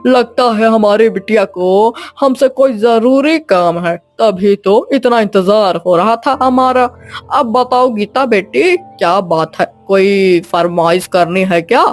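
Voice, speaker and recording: Guy doing a loving old grandpa, protective and reassuring, cartoon story, soft music behind. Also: high voice, takes it slowly. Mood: fond, amused. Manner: affectionate, chuckling, reassuring. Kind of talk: animated moral story dialogue.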